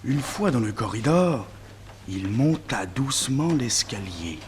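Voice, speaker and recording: An actor playing the narrator of a vintage French radio crime serial, telling it with dramatic suspense. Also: male voice, mid-range voice, big pitch swings, a little slow, a little mellow, slight background noise. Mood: suspenseful. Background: music.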